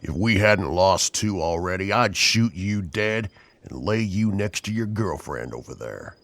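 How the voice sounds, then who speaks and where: Casting: menacing gold-hungry outlaw chief, low voice, cold folksy cowboy delivery, audio drama. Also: male voice, animated, measured pace, a bit bright, full character voice. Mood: cold threat. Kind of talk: audio horror western drama acting.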